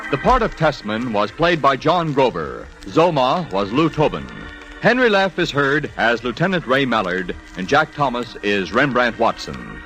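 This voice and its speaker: A man's voice: mid-range voice, big pitch swings, normal speed, music in the background, rough recording. A man announcing a radio program in a formal, polished 1940s broadcast style. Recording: vintage radio broadcast recording.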